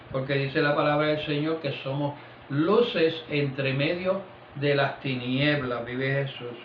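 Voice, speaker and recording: A man's voice: fairly high pitch, slight background noise. A man preaching an informal family prayer sermon, repeating "vive Jesús" and "aleluya" between phrases and dropping his voice on them. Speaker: lay preacher. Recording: echoey, dull, lo-fi room recording.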